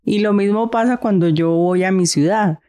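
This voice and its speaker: A woman from Santander, Colombia, with a softened Santander accent, talking thoughtfully as a guest in a casual conversation. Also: mid-range voice, moderate pace. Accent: Santander Colombian Spanish, softened.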